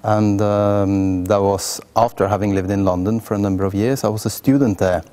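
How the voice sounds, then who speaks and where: Mellow, low-voiced Norwegian man. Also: fairly even intonation, normal speed. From Oslo, Norway. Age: middle-aged. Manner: hesitant, thoughtful phrasing. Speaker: orchestral cellist. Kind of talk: reflective interview talk.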